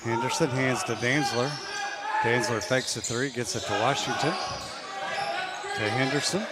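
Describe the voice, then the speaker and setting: A man giving rapid, clipped play-by-play commentary on a basketball game. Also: mid-range voice, fairly even intonation. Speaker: play-by-play announcer.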